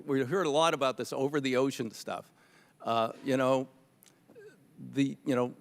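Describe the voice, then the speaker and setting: An American man questioning witnesses at a legislative hearing in a halting, skeptical, pressing way. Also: medium pitch. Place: large indoor hearing room. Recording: reverberant hearing room.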